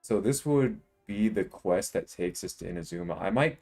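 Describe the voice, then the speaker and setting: A man in his twenties with a deep voice, chatting casually and jokingly while live-streaming a video game. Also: lively intonation, normal speed, vocal fry, a little mellow, husky, faint music behind. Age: 20s. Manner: laid-back, joking, rambling.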